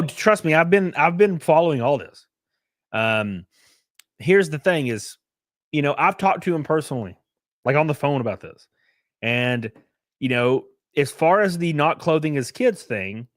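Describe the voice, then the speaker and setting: A man's voice: on the high side, no room sound, clean studio sound. A man streaming casual commentary, reading chat messages aloud and rambling with fillers, a bit sniffly. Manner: rambling, lots of fillers and restarts.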